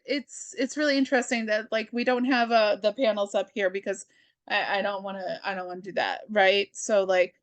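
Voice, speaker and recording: A woman's voice: mid-range voice, a bit bright, no room sound. A woman hosting a comics review, retelling a story in casual, slangy, chatty language and slipping into the characters' dialogue. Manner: slangy and informal, acts out characters' dialogue in own voice. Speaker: host of a comics review show.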